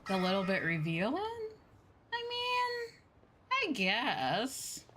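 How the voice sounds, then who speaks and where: A woman's voice: mid-range voice, wildly swooping pitch, slow pace, noisy background. A woman streaming a game, chatting casually and playfully and muttering to herself.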